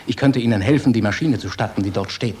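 An actor playing an earnest, idealistic officer in a German radio drama, solemn and intense. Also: male voice, fairly low pitch, fast, darkish tone, a little hiss or hum, natural voice. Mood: urgent. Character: earnest, idealistic officer, urgent man of conscience.

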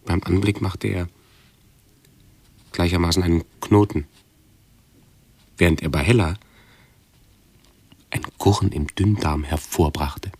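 An actor playing a brooding, hypochondriac intellectual with pedantic, ornate diction in a radio drama. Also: male voice, sits low, steady tone, measured pace, pauses often, darkish tone, husky, no echo, full character voice. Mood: brooding, insistent. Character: brooding, hypochondriac intellectual man tormented by his body. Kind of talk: 1970s German radio drama acting.

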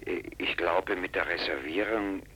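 A man's voice: low-pitched, normal speed, pushed and bright, a little hiss or hum. A man playing an apologetic, hesitant hotel receptionist calling by phone in a German radio play.

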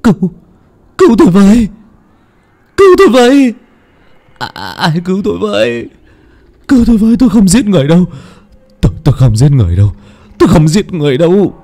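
A man voicing a young man high on drugs, screaming in a panicked frenzy. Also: sing-song, measured pace, pauses often, heavily acted. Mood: terrified screaming. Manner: shrieking, panicked, frantic.

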